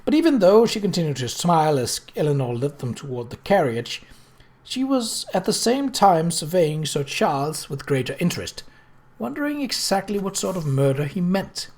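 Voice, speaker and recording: An audiobook narrator with a medium-pitched voice reads fiction aloud. The delivery is expressive, with widely swinging intonation. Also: moderate pace. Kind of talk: expressive scripted story narration.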